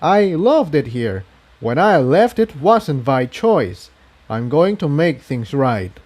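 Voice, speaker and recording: A man's voice: fairly high pitch, sing-song, takes it slowly, a little mellow, heavily acted. A man voicing a determined, protective male pony hero who speaks with earnest emphasis in a story reading.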